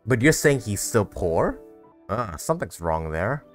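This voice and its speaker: A man's voice: lowish voice, measured pace, faint music behind. A man giving casual, slangy playthrough commentary, reacting expressively to a game's story. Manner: slangy, expressive, mock-incredulous. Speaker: game streamer reacting to story.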